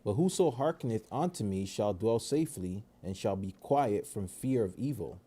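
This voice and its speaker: A man with a dark, husky voice reads scripture aloud. His delivery is steady and formal, and his pitch sits low. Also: normal speed. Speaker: scripture reader.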